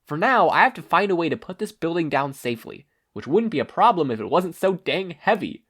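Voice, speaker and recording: A man acts out an earnest teenage boy hero from a superhero tale. The voice is raised and animated, fretful and urgent, as if working under strain. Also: fairly high pitch, a little fast, slightly rough, voice pushed up, full character voice. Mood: earnest, determined.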